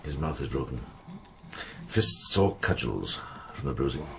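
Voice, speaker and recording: Casting: shrewd monk healer, period radio drama. Extremely low voice, expressive range, calm command. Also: male voice, vocal fry, exaggerated voice. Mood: grave, practical. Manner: measured, authoritative, thoughtful.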